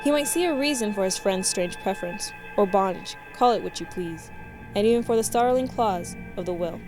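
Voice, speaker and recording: A woman's voice: mid-range voice, expressive, brisk, low-quality recording. Lady reading an old novel radio play style, careful formal phrasing, music playing behind her. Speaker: narrator. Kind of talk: literary scripted narration, radio play.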